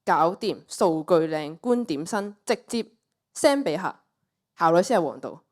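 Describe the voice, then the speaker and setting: A woman's voice: lowish voice, lively intonation, measured pace. A teenage girl with a Cantonese accent playing a brash, flippant young woman in a radio play, scoffing and cocky. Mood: smug. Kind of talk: student radio play acting.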